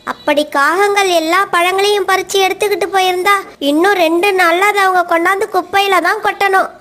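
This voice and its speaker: An earnest young female parrot with an extremely high, small voice. She speaks her mind plainly, in the style of a cartoon story. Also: steady tone, a little fast, a bit bright. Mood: puzzled, earnest.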